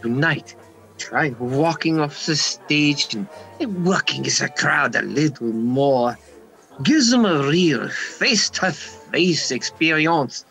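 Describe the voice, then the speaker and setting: A man's voice: a little high, lively intonation, slow pace, gravelly, fairly bright tone, faint music behind, heavily acted, poor audio. Man acting a flustered club manager, Jacques. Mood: fussing, hurried. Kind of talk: hobby radio play acting.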